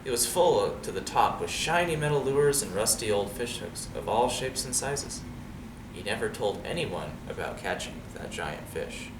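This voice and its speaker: A man reading a storybook aloud to young listeners in an expressive, dramatic way. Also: mid-range voice, moderate pace. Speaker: storybook reader. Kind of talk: animated read-aloud story with asides to children. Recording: roomy, distant mic, noisy background.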